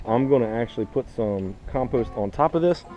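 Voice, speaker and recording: A man's voice: medium pitch, expressive, fairly quick, over loud music. A man hosting a casual how-to vlog, talking directly to viewers and explaining step by step like a teacher. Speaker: vlog host, teacher and gardener. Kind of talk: casual instructional vlog talk.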